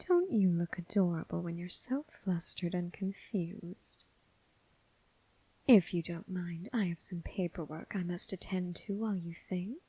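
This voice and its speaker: A woman playing a commanding, teasing, coolly amused mob boss in an ASMR roleplay, husky and breathy, speaking with deliberate, condescending pauses. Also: warm tone, no echo, full character voice, poor audio. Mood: teasing, seductive. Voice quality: husky, breathy, low, creaky. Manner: slow, deliberate, purring condescension with drawn-out pauses. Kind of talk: ASMR roleplay, scripted character acting.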